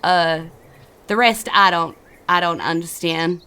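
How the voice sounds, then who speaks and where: Very old lost wandering woman, played by a female game master, vague, halting, slow-paced, for tabletop roleplay. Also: on the low side, pushed and bright, smooth. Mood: dazed, wondering. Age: elderly.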